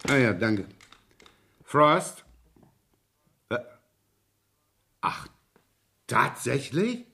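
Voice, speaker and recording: A wry, rumpled male police inspector in a radio crime play. His medium-pitched voice is casual and teasing, with an easygoing way of putting things. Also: sing-song, very slow, sharp tone, a little hiss or hum, exaggerated voice. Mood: brisk, amused. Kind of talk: German radio crime drama acting.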